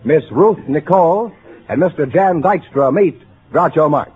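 Bright, lively old-radio announcer man. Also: a little high, moderate pace. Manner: crisp, formal introductions. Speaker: show announcer. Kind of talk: scripted announcing. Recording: tinny old radio broadcast recording.

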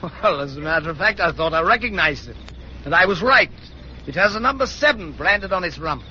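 A man's voice: a little high, lively intonation, fairly quick, sharp tone, a little hiss or hum. An actor playing a weary, drink-sodden Englishman abroad who answers questions evasively in formal, mannered phrases. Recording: dull lo-fi radio drama.

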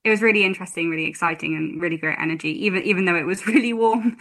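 A woman's voice: lowish voice, a bit bright. A woman in her twenties, a campaigner guest on a radio interview, speaking thoughtfully with many ums. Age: 20s. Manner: thoughtful, many ums, slow measured phrasing.

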